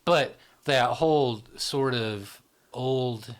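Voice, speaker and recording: A man's voice: medium pitch, very slow. A man in his fifties who hosts a casual psychology podcast chat, explaining things in small steps and checking in with "right?". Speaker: podcast host.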